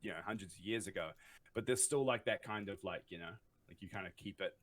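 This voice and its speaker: A man's voice: lowish voice, fast talker, a bit bright. A man from New Zealand with a New Zealand accent, chatting as a podcast guest, rambling with restarts and frequent laughs. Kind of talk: casual podcast chat.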